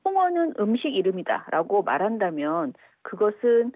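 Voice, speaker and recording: A woman media critic joining by phone, explaining her view calmly and point by point. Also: mid-range voice, normal speed, no echo. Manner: calm, explanatory, argues point by point. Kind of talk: phone interview commentary. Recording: dry tinny phone line.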